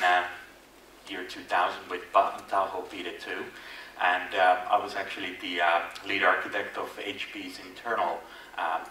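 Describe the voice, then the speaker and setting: A man's voice: deep voice, sharp tone. A man from Finland with a Finnish accent tinged with Irish, giving a casual, self-deprecating conference talk with many fillers and restarts. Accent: Finnish, with Irish influence. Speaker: conference speaker. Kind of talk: conference presentation. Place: large conference hall. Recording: echoey hall, distant mic, faint noise.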